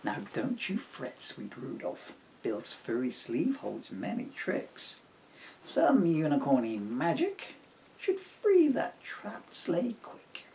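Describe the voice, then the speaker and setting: A man voicing a kind, quick-thinking young girl in a children's storybook, with a caring, encouraging manner. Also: on the high side, huge pitch swings, darkish tone, exaggerated voice. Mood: reassuring.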